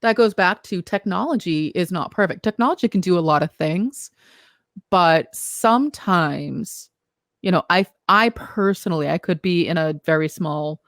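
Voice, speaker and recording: A woman's voice: fairly low pitch, normal speed, dry recording, studio quality. A woman co-hosting a podcast in casual conversation, practical and questioning, with a lot of "you know.". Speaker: podcast co-host.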